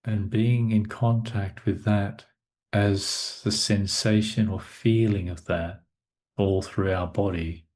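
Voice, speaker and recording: A male meditation teacher talks at an extremely unhurried pace. His voice sits fairly low, and he delivers the guidance in short, measured phrases, leaving long silences between them. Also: fairly even intonation, a little mellow. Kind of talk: guided meditation talk.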